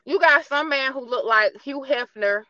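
High-pitched American woman, slangy podcast chat. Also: moderate pace, bright, forceful tone, no echo, lo-fi sound. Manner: slangy, colloquial, emphatic storytelling. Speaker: podcast host. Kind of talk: casual animated chat and interviewing.

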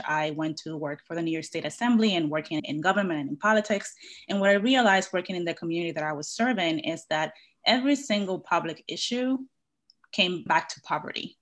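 Lower-voiced woman from the Dominican Republic. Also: fairly bright tone. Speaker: podcast guest. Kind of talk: casual interview.

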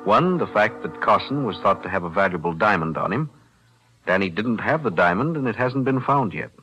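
A measured, principled lawyer. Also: male voice, fairly low pitch, lively intonation, pushed and bright, slightly rough, soft background music, full character voice, poor audio. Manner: measured, deliberate, precise. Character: calm, principled male lawyer. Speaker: defense attorney. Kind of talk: 1940s radio acting.

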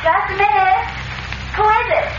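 On a noisy, echoey old radio recording, a young female reporter speaks in nervous, halting protest. Her voice is high and leaps about in 1940s radio drama style. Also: lo-fi sound. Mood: nervous stalling. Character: startled, stalling young woman newspaper reporter.

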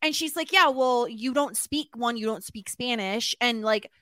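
A woman co-hosting a TV recap podcast, talking in a loose, chatty way. She thinks out loud, piles in filler words and restarts her sentences. Her voice sits a bit high and sounds close and dry, with no room around it. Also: normal speed, dry recording. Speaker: podcast co-host. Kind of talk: casual chat recapping a TV episode.

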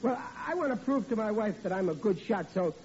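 A man's voice: high-pitched, animated, brisk, a crowd in the background. A blustering, flustered family man. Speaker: lead character in a radio comedy. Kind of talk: 1940s radio acting. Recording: old lo-fi radio recording with faint hiss.

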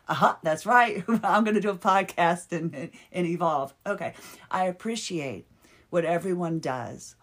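A woman hosting her own podcast, talking earnestly and haltingly, often restarting and repeating words. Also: fairly low pitch. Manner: earnest and heartfelt, with bursts of laughter. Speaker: podcast host. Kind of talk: casual solo podcast monologue.

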